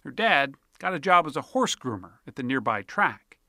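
A man's voice: mid-range voice, sing-song, normal speed, sharp tone, dry recording, clean studio sound. A man narrating a TV news feature in a polished storytelling way. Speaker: TV news correspondent.

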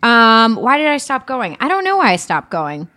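A woman's voice: fairly high pitch, very animated, normal speed, sharp tone, no room sound, studio quality. A woman, an actress guest on a podcast, chatting casually, teasing the hosts and laughing often. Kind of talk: casual chat.

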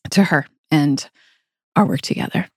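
A woman with a slightly low, gravelly voice co-hosts a podcast. She talks casually and reflectively, telling her stories earnestly. The sound is very dry, with no room on it. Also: moderate pace, no room sound. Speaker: podcast co-host.

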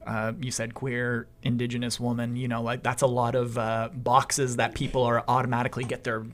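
A man's voice: mid-range voice, monotone, brisk. A man hosting an interview, asking long, rambling questions in a conversational, encouraging way. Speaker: host.

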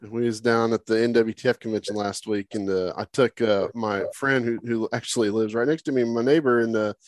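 A man's voice: medium pitch, fairly even intonation, brisk, dry recording. Folksy male host, dry close sound. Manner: informal, folksy. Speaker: show host. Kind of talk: casual chat interview.

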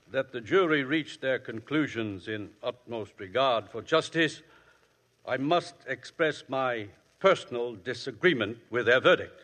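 A solemn trial judge. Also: male voice, medium pitch, fairly bright tone. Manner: solemn, formal. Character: grave, formal male trial judge. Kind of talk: 1950s radio drama acting. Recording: old radio broadcast with long reverb.

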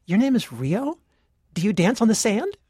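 A woman's voice: medium pitch, very animated, moderate pace, warm tone, husky, dry recording, clean studio sound. A woman acting as a naive, perky teenage girl singer in a parody radio play. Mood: playful.

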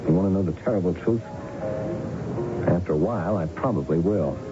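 A muffled vintage radio drama with loud music behind. A man plays a haunted, guilt-stricken fellow with a fairly low voice. He broods haltingly and circles back over his words. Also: lightly acted. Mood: resigned. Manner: halting, brooding, repeats himself. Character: guilt-ridden man haunted by a policeman's killing.

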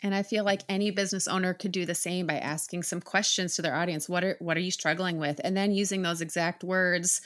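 A woman hosting a podcast, chatting casually and asking her guest upbeat quick-fire questions. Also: lowish voice, steady tone, a bit bright. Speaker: podcast host. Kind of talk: casual interview chat.

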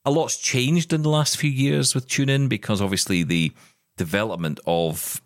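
A man's voice: mid-range voice, fairly even intonation, normal speed, no room sound, studio quality. A British man co-hosting a podcast, chatting enthusiastically about tech news with frequent restarts. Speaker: podcast co-host. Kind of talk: casual tech news chat.

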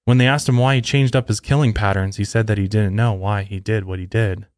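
A man's voice: on the low side, fairly even intonation, a little fast, a little mellow, dry recording, studio quality. A man hosting a podcast, reading a scripted true crime narration. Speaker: podcast host.

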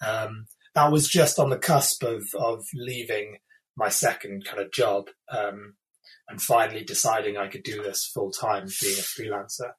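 A man's voice: on the low side, fairly even intonation, unhurried, low-quality recording. A man in his thirties from the UK, an illustrator talking casually in an interview, thoughtful and hesitant with many fillers and restarts. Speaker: illustrator, guest.

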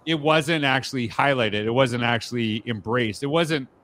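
A man's voice: medium pitch, normal speed, a bit bright. A man hosting a podcast interview, talking in a reflective, conversational way with frequent fillers and restarts. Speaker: podcast host. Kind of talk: casual interview chat.

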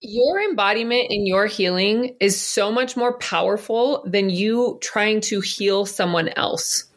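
A woman's voice: medium pitch, a little slow. A middle-aged woman being interviewed, speaking earnestly and fluidly about spiritual topics, often breaking into laughs. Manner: earnest, flowing, laughs easily. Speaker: podcast guest, psychic and business coach.

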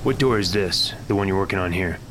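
An actor playing a composed, polite investigator who probes carefully in a sci-fi audio drama. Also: male voice, noisy background, natural voice. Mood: probing. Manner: polite, measured, inquisitive. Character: composed, probing male government investigator. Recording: loud rumbling industrial ambience.

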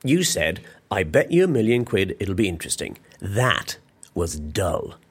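An actor playing a fussy, wry British office worker in a naturalistic audio drama, dry and complaining. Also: male voice, sits low, very animated, measured pace, slightly rough, heavily acted. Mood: dissatisfied.

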